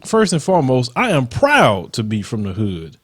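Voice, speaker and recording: A man's voice: mid-range voice, wildly swooping pitch, moderate pace, fairly bright tone, husky, no echo, studio quality. Combative Southern man rebutting trolls. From Southern United States. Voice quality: slight lisp. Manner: combative, emphatic, reads comments aloud then rebuts. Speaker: channel host reading troll comments. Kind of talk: casual commentary.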